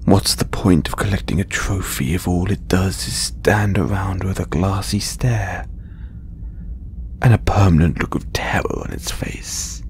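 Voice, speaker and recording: A man playing a condescending, possessive alien trophy hunter in an audio roleplay, speaking deliberately in breathy half-whispers with long pauses. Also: deep voice, darkish tone, a little hiss or hum. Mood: smug, menacing. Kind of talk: slow audio roleplay monologue to listener.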